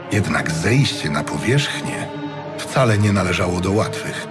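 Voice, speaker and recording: A man reading scripted dramatic fantasy narration in a measured, solemn storytelling manner. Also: on the low side. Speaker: audiobook narrator.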